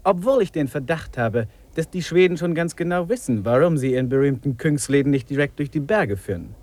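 An actor playing a skeptical but agreeable hiking companion in 1950s radio drama dialogue. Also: male voice, on the high side, animated, a little fast, a little mellow.

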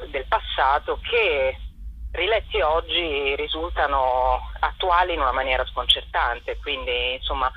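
Radio interview guest, female. Husky, expressive and reflective, heard over a muffled phone-like line. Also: sits low. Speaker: theatre collective member, interview guest. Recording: dull telephone-like radio line.

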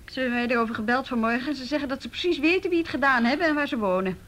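An actress playing a businesslike female team leader in a naturalistic radio drama, brisk and conversational. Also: high-pitched, fairly bright tone. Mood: brisk, practical.

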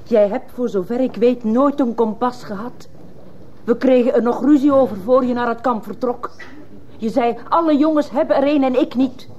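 A woman's voice: a little high, moderate pace, mellow, natural voice. An actress playing a harsh, bitter middle-aged mother in a radio drama, insistent and reproachful. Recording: muffled old radio play, faint noise.

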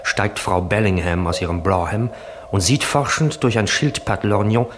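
An actor playing a measured narrator who reads stage directions in a 1960s German radio drama. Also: male voice, on the low side, lively intonation, close to an everyday voice. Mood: descriptive. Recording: clearly noisy old radio recording.

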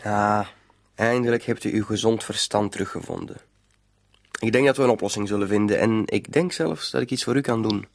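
An actor in a radio play, a man, playing a polite, greedy blackmailer with a calmly menacing manner. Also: on the low side, a little fast, vocal fry. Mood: smugly courteous. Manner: mock-courteous, calmly menacing. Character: smooth-talking, polite, greedy male blackmailer. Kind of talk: Dutch-language radio drama acting.

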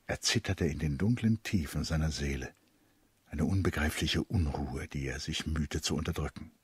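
A man narrating a literary radio drama in a measured, contemplative manner. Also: very deep voice, slightly rough, dry recording. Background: plucked string music.

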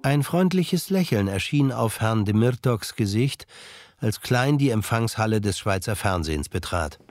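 A man narrating a crime radio play, reading scripted literary prose in a measured storytelling way. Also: lowish voice, animated, darkish tone.

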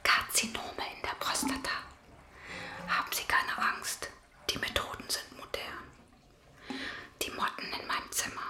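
A woman delivering a literary monologue in a hushed, breathy whisper. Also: unhurried, pauses often, roomy sound.

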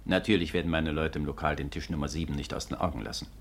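An actor playing a courteous, businesslike police detective in a 1950s radio drama, speaking formally and matter-of-factly. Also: male voice, extremely low pitch, steady tone. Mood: calm, courteous. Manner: measured, matter-of-fact, formal. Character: courteous, businesslike male Scotland Yard detective.

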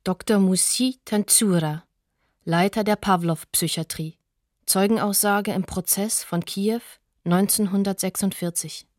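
A woman's voice: mid-range voice, fairly even intonation, measured pace, darkish tone, dry recording, clean studio sound, lightly acted. A woman as a neutral announcer of sources and dates in a radio docudrama, factual in manner.

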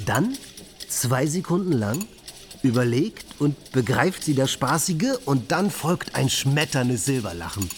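A playful, talkative bohemian artist in a literary radio play. His voice sits at a medium pitch, and he speaks in florid, persuasive phrases as a penniless matchmaker. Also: very animated, measured pace, slight background noise.

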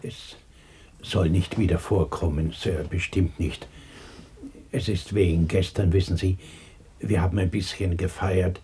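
An actor playing a timid, deferential clerk with a breathy, husky voice and a polite, apologetic manner in a 1960s German radio play. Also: male voice, deep voice, steady tone, a little slow, warm tone, full character voice. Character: timid, deferential clerk, humble family man.

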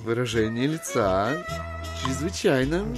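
An obsequious gentleman guest, acted by a man in a radio play, speaking slowly with widely swooping intonation. He hesitates and stammers politely as he tries to please. Music plays clearly behind him. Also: mid-range voice, lively intonation, lightly acted. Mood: hesitant, conciliatory. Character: ingratiating, polite, cautious gentleman visitor, eager to please. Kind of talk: Russian literary audio drama acting.

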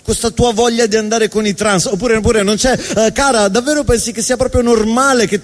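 A man performing a theatrical spoken monologue on stage, with emphatic, rhetorical delivery and deliberate repetition. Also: high voice, fairly even intonation. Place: live stage in a reverberant venue. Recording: reverberant hall, live stage sound.

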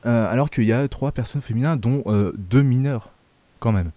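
A man's voice: medium pitch, normal speed, dark tone, no room sound. A man from France hosting a casual film review chat, hesitant and opinionated, with many fillers and restarts. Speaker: film review show host.

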